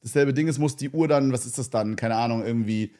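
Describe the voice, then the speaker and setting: A young man co-hosting a podcast, chatting in a rambling, excitable, slang-heavy way. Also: mid-range voice, fast. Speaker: podcast co-host. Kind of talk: casual podcast chat.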